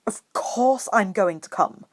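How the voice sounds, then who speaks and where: A woman's voice: mid-range voice, lively intonation, slow, dry recording, pitched above the performer's own voice. Woman reading as a snooty, drawling schoolboy. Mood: insistent. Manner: drawling, needling.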